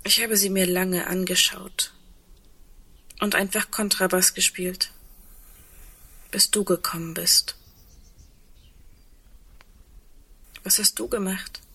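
Husky, wry woman in radio drama. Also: mid-range voice, little pitch movement, takes it slowly, long pauses, sharp tone, full character voice. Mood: quiet, melancholy. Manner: dryly humorous, reflective. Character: tall, wry, resigned woman insolvency administrator facing doomsday. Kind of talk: radio drama dialogue acting.

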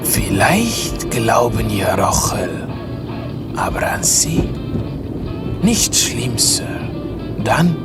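Excitable, devout Italian cab driver, broken grammar, wildly swinging pitch, slow drawn-out delivery, music underneath. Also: male voice, heavily acted. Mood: earnest. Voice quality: broken grammar, thick foreign accent. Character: eccentric, pushy, pious immigrant New York taxi driver. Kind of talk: audio drama acting.

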